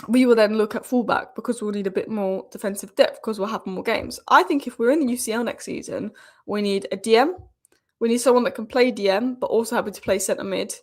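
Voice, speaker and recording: A young woman hosts a live football stream while fighting a cold, so she sounds sniffly and rough in the throat. She talks in a chatty, opinionated way, with lots of fillers and restarts. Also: medium pitch. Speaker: football channel host. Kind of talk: casual live-stream commentary.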